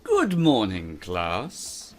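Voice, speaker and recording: A man's voice: lowish voice, huge pitch swings, drawn-out words, slight background noise, heavily acted. A man playing a stern, eccentric college teacher in an audio drama, theatrical and dryly ominous. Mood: dry, formal.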